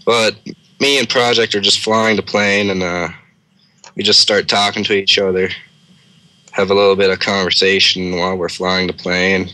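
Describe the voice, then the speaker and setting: A man's voice: low-pitched, little pitch movement, normal speed, sharp tone, no room sound. A man co-hosting a storytelling series, casually improvising a story in a conversational way.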